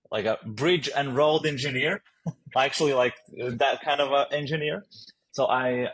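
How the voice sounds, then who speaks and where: A man's voice: mid-range voice, fairly bright tone. A man from Latvia with a Latvian accent, a startup founder answering interview questions hesitantly with many fillers and easy laughs.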